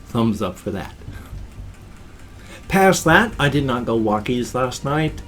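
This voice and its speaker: A man's voice: medium pitch, takes it slowly, soft background music. A man in his fifties with a stuffed-up, wheezy voice, rambling casually to a webcam in a small room at home. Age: 50s. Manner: animated, gestures constantly with both hands. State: congested and wheezy. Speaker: vlogger. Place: small home room full of toys and collectibles. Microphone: webcam framing at desk.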